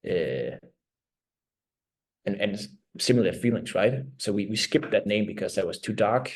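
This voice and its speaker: A man in his thirties, a native Danish speaker with a Danish accent, giving reflective interview answers as a band's vocalist, often halting and searching for words. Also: lowish voice, animated. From Denmark. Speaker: band vocalist and lyricist.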